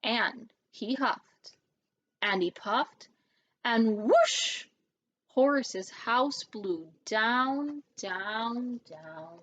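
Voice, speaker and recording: A woman reading a children's story aloud as an expressive narrator. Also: a little high, very slow, lo-fi sound. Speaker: storybook reader.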